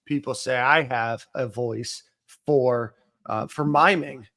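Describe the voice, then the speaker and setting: A man's voice: mid-range voice, fairly even intonation, takes it slowly, fairly bright tone. A man hosting a sports podcast, talking casually with many uhs and some self-mockery. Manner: filler-heavy, uh-laden, self-mocking. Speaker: podcast host.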